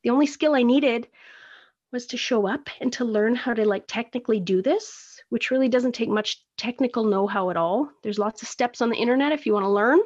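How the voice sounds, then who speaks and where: A woman's voice: on the high side, fairly quick, darkish tone. Chatty Canadian woman, lo-fi podcast. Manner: rambling, self-deprecating, chatty. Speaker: podcast host. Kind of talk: casual solo podcast monologue. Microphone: phone recording.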